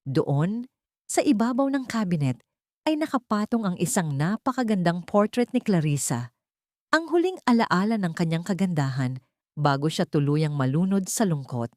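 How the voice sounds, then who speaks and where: A woman's voice: fairly low pitch, very animated, moderate pace, darkish tone, no room sound, clean studio sound. A woman narrator reading a scripted, dramatic story.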